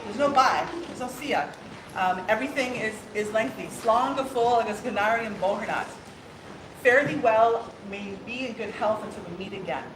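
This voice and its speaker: A woman's voice: fairly high pitch, moderate pace. A woman from Ireland speaking as a new princess opening a court, laughing often and stumbling over fillers. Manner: warm, laughing, many fillers and restarts. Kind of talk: ceremonial speech and casual exchange. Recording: echoey hall with background noise.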